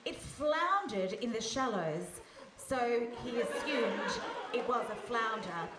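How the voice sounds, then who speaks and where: Female fairy-tale narrator, played by a woman, fairly high voice, scripted storytelling, distant, roomy hall. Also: lively intonation, takes it slowly, a loud crowd. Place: hall stage before a live audience. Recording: echoey hall from a distance, faint noise.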